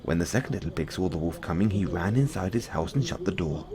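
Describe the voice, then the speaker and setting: A man narrating a bedtime fairy tale as a storyteller, in a simple, measured read-aloud delivery. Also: sits low, lively intonation, crowd noise.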